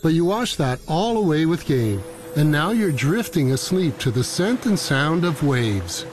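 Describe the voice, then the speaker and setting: A man's voice: a little high, big pitch swings, a little slow, soft background music. A man reading a comic storytelling commercial in a playful way. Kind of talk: comic ad read.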